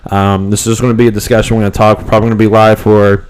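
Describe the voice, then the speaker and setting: A man's voice: on the low side, level tone, racing, a little mellow, a little hiss or hum, rough recording. A young man co-hosting a casual live stream. His voice cuts in and out from a cold, and he rambles with lots of ums and restarts. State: voice cutting in and out from a cold. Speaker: co-host of a fan podcast live stream.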